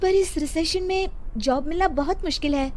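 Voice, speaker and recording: A woman voicing a meek, sweet young daughter-in-law, polite and gently protesting, in a cartoon moral story. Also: very high voice, normal speed, slight background noise, voice pushed up. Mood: concerned. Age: young adult.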